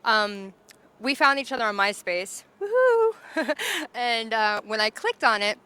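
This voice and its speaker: A woman's voice: a little high, sing-song, a little slow, pushed and bright, clean tone. A woman hosting an interview, chatty and enthusiastic, using "like" a lot. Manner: chatty, enthusiastic, says "like" often. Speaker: host. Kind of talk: casual interview. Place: bar and grill.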